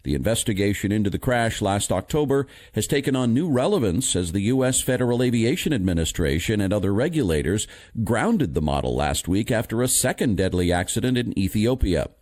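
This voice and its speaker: A man reading scripted news stories in a measured, formal manner. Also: on the low side, sing-song, darkish tone, dry recording. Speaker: news reader.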